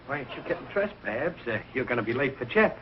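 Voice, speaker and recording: An actor playing a blustery but soft-hearted working-class father in a 1940s TV sitcom, folksy and joking. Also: medium pitch, sing-song, quick pace, close to an everyday voice. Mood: joking, fond. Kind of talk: 1940s live TV sitcom acting. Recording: dull, noisy old TV soundtrack with audience.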